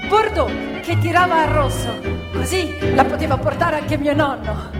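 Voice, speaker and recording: A woman performing a theatrical narrative monologue in a dramatic, deliberate manner. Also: sits high, expressive. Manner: dramatic, deliberate, with acted-out dialogue and repetition. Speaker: stage actress and storyteller. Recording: reverberant hall with live music.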